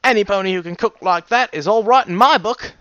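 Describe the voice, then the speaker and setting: Man putting on a jolly, booming stallion with muddled accent. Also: brisk, pushed and bright, dry recording, muffled recording. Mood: hearty, approving. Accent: muddled mix of British, American Southern and Swedish. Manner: exclamatory, friendly, hearty. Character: jolly, burly stallion cook, hearty and boisterous.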